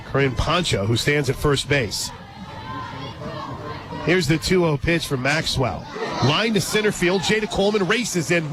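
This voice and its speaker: An American man doing live radio play-by-play of a softball game, chatting conversationally between pitches. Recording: loud crowd and music behind outdoor broadcast. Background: ballpark crowd and loud music.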